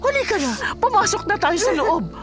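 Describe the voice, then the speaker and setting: A woman's voice: extremely high pitch, big pitch swings, moderate pace. A woman playing a blunt, protective middle-aged mother in a radio drama, nagging in an exasperated, colloquial way. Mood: exasperated scolding. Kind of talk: Filipino radio drama acting. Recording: music bed under the dialogue. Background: music.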